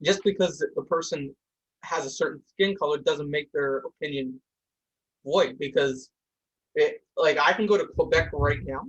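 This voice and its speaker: Husky young man, heated podcast host. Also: a little high, fairly bright tone, muffled recording. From India. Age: young adult. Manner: heated, talks fast with restarts. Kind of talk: casual discussion. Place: home room with shelves.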